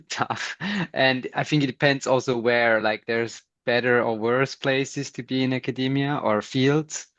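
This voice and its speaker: A man with a Swiss German accent, a non-native English speaker, chatting as an interview guest, thoughtful and quick to laugh. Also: mid-range voice, a bit bright, no room sound, muffled recording. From Switzerland. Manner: thoughtful, laughs often, many restarts. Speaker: guest, scientist and runner. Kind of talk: casual interview chat.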